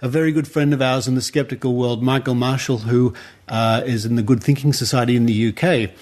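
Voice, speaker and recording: A man's voice: medium pitch, fairly even intonation, brisk, darkish tone. An Australian man hosting a podcast interview, friendly and hesitant with frequent uhs. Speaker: podcast host. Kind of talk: casual interview chat.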